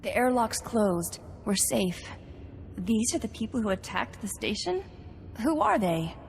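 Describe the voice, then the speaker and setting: A woman's voice: medium pitch, big pitch swings, measured pace, creaky, darkish tone, in a large hall, a little hiss or hum. An actress playing an anxious, helpful young female scientist on a space station in a video game. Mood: worried, hopeful.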